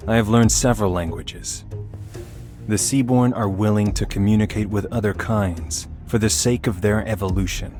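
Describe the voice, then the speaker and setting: A man voicing a grave, ominous hunter warrior in a video game, speaking in a solemn, measured, formal way. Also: fairly low pitch, lightly acted. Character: grave, ominous male abyssal hunter warrior, distrustful of land dwellers. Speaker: voice actor for a video game character. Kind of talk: scripted video game character lines.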